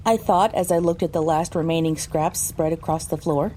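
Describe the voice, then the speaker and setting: A woman's voice: low-pitched, normal speed, dark tone, slight background noise, lightly acted. A woman voicing a pony who narrates her own story, reading scripted first-person audio drama narration. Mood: measured.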